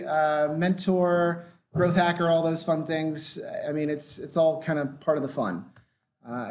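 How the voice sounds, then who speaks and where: An American man from Washington, D.C., giving a casual, filler-heavy lecture on marketing to a live audience. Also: on the high side. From Washington, D.C., USA. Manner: casual, loose, frequent "uh" fillers. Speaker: guest lecturer on growth hacking. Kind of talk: conference talk to a live audience.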